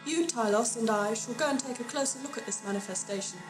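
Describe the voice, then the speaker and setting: A woman playing a grave, resolute village rebel leader in an audio drama, stern, formal and measured. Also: a little high, reverberant, music in the background, close to an everyday voice, poor audio.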